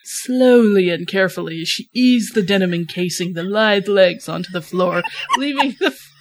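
A woman's voice: mid-range voice, animated, moderate pace. A woman co-hosting a podcast, giggly and self-conscious, chatting casually and narrating amateur fan fiction. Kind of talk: casual chat and amateur story reading.

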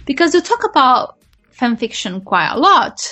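Higher-voiced woman chatting expressively. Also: sing-song, normal speed, bright, forceful tone, faint music behind. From Brazil. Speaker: podcast co-host. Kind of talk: casual conversational chat.

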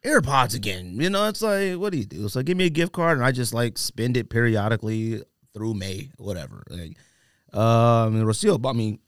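A man's voice: mid-range voice, lively intonation, moderate pace, no room sound, studio quality. A man with an American accent hosting a podcast, bantering casually with friends in slangy, joking talk. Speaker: podcast host.

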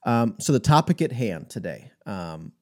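A man's voice: lowish voice, big pitch swings, moderate pace, a little mellow. A man hosting a casual podcast interview, easygoing and encouraging. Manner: easygoing, encouraging, short backchannels. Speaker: podcast host.

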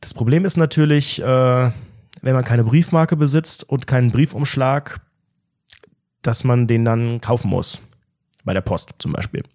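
A man's voice: mid-range voice, unhurried, darkish tone, dry recording, clean studio sound. A man co-hosting a casual podcast, telling a story in an ironic, mock-pompous way. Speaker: podcast co-host.

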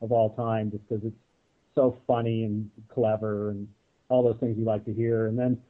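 A man speaking as a guest in a casual interview, reflecting haltingly as he gathers his thoughts. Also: lowish voice, little pitch movement. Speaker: guest, audio drama producer. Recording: narrow-band phone-like line.

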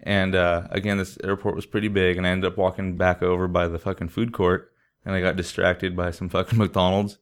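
A man's voice: low-pitched, fairly quick, clean tone. A man chats casually as a podcast co-host. He tells his story in loose, slangy, swear-filled language, acting out what people said as he goes. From San Diego, California, United States. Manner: profane, slangy, animated storytelling with acted-out quotes. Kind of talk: casual chat, anecdote telling.

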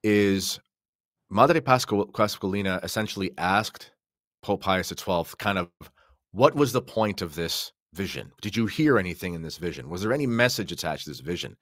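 A man's voice: sits low, lively intonation, moderate pace, fairly bright tone, dry recording, clean studio sound. A man giving enthusiastic, explanatory commentary in a conversational podcast style. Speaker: podcast guest or co-host commentator.

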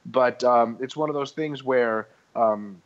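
A man's voice: medium pitch, takes it slowly, lo-fi sound. A man explaining muscle training in a casual podcast discussion, talking through his points with frequent ums and restarts. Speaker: guest expert.

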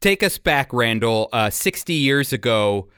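A man's voice: medium pitch, lively intonation, unhurried, a bit bright, clear, resonant tone, no room sound. A man hosting a radio program, speaking conversationally as he introduces and interviews a guest. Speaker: radio show host and interviewer.

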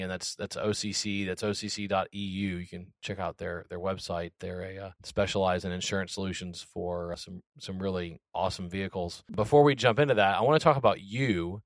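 A man with a lowish voice hosts an interview podcast, easygoing and chatty, often pausing on "uh". The sound is close and dry, with no room behind him. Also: dry recording. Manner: relaxed, chatty, frequent filler words. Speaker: podcast host. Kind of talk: casual interview chat.

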